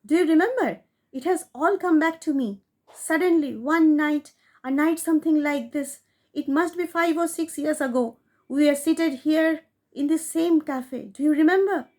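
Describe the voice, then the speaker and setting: A woman's voice: high voice, moderate pace, darkish tone, pitched above the performer's own voice, lightly acted. A woman reading a story, voicing a scheming man who urges his brother toward a murder in an eager, insistent way. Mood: urgent. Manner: eager, urgent, insistent whispering.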